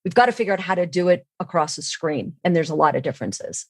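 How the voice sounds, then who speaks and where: A woman's voice: lowish voice, expressive, fast talker, darkish tone, no echo, clean studio sound. A woman explaining things as an expert podcast guest, in an instructive way, often posing questions and answering them herself. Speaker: guest, sales training expert. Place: remote online call.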